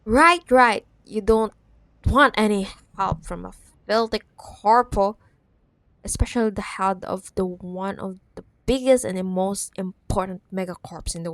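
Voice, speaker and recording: Female actor for obsessive corporate villainess ex in ASMR drama; teasing, mock-sweet menace, unhurried delivery, very dry sound. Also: medium pitch, no echo. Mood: sarcastic, exasperated. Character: possessive, menacing, sweetly condescending corporate CEO ex-girlfriend. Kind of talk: roleplay ASMR audio drama.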